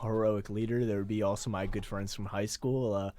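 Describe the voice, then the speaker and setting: A man's voice: fairly low pitch, flat delivery, background noise, voice pushed down, close to an everyday voice. A man putting on a rambling boastful immigrant. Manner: halting, rambling delivery full of "uh"s. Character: boastful Kazakh immigrant man telling drunken stories. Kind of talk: comedic college radio bit.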